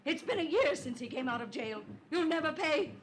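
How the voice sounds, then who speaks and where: Through clear old-radio noise comes a vengeful, hysterical woman. Her voice is high, bitter and accusing, acted in the melodramatic style of 1950s radio drama. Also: expressive, fairly quick. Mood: scornful. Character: hysterical, vengeful woman holding a man at gunpoint. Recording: noisy old-time radio recording.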